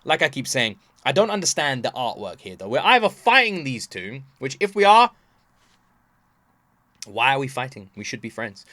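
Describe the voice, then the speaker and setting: A man's voice: mid-range voice, sing-song, normal speed, bright, forceful tone, dry recording. A man hosting a casual reaction video, rambling expressively and repeating himself for emphasis. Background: game soundtrack.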